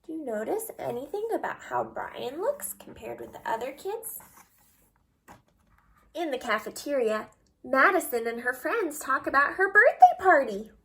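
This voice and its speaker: A woman reading a children's story aloud expressively and pausing to put guiding questions to young listeners. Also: high-pitched, big pitch swings, creaky, sharp tone. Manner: slow, deliberate, asks guiding questions.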